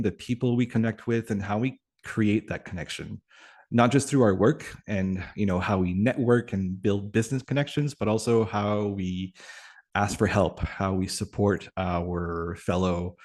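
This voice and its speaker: A man from Atlantic Canada whose first language is French, talking as a guest on a video call, reflective and pausing often with fillers. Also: lowish voice, darkish tone. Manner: thoughtful, many pauses and fillers. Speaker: guest, community facilitator. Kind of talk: reflective interview conversation.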